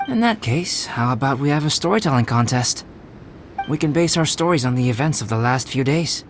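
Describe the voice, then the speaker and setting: A man playing a soft-spoken young male alchemist in a video game, composed and articulate, speaking in measured, gently formal phrases. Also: medium pitch, expressive, slight background noise, close to an everyday voice. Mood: calm, mild. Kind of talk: scripted video game character dialogue. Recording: game music behind the voice.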